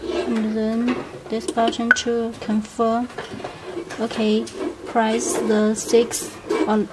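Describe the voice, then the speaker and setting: A woman demonstrating a product step by step without a script, speaking in short, halting fragments. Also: fairly high pitch, completely flat delivery. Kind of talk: unscripted step-by-step product tutorial. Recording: noisy room with clicks.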